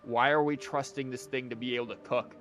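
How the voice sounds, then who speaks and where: A man's voice: mid-range voice, steady tone, brisk, fairly bright tone. A man giving casual, offhand reaction commentary as a game streamer, with a wry manner. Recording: game music behind the voice.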